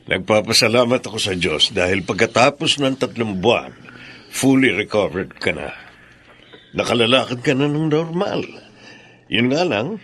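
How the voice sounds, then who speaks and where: A man's voice: lowish voice, expressive, moderate pace, a bit bright, husky. An actor playing a grateful middle-aged father in a Filipino radio drama, earnest, with a Filipino accent. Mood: grateful, tender.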